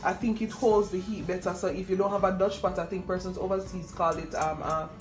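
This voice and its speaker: Young woman, Jamaican accent, lowish voice, chatty cooking host, self-deprecating, music behind. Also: fairly even intonation, a little fast. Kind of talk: casual cooking vlog chat.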